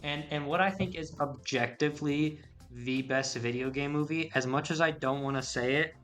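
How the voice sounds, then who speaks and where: A young man talks in a bright, slightly raised voice, excitable and loose with his swearing. He chats casually with friends on a podcast, with faint music underneath. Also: fairly high pitch, moderate pace. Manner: excitable, swears casually, mimics in a sing-song. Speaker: podcast co-host. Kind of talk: casual chat.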